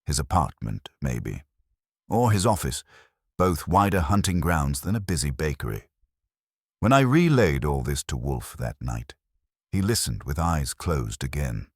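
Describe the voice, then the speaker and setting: A man narrates a first-person detective tale with a very deep voice that has a rough, husky edge. His delivery is wry and measured, yet the pitch moves around in a lively way. It is scripted storytelling throughout. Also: no echo, clean studio sound. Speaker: audiobook narrator.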